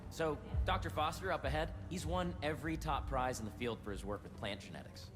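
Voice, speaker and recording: An actor as a playful young tech heir. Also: male voice, fairly high pitch, fairly quick, music in the background, lightly acted. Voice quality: chatty, playful. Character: young male tech heir, upbeat and playful host of a tour. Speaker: tour guide to a friend. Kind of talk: video game cutscene acting. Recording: reverberant hall with ambient noise.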